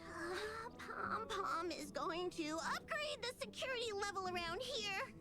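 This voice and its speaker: A woman voicing a small, cute, fussy animal train conductor in a video game, squeaky and childlike, talking in the third person. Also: expressive, measured pace. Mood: alarmed, fretful. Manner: speaks of self in third person, fretful. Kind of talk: video game character acting. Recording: game music behind the voice.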